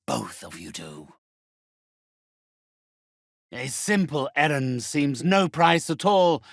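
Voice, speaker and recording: An actor playing a haughty, sinister necromancer in a video game, with a raspy, gravelly voice and a formal, theatrical, condescending manner. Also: male voice, medium pitch, no room sound, clean studio sound, full character voice. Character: haughty sinister male necromancer who commands spirits. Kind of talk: video game character acting.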